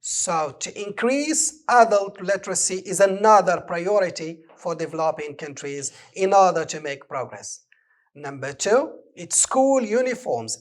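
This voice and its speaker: A man from Morocco with a Moroccan accent, a native speaker of Moroccan Arabic, teaching an English lesson on television and explaining exercise answers step by step. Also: high voice. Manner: slow, deliberate, reads exercise items aloud and explains answers. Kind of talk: televised distance-learning lesson.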